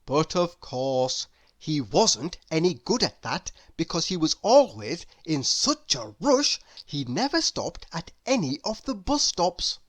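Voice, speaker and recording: A woman reading a children's story aloud in an expressive narrating style. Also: low voice, takes it slowly. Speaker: storybook reader.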